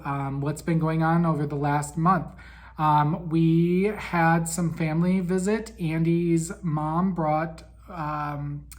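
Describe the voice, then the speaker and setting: A man hosting a casual monthly podcast recap, raspy and weak in breath from a head cold, chatty with frequent ums. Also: on the high side. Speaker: podcast host.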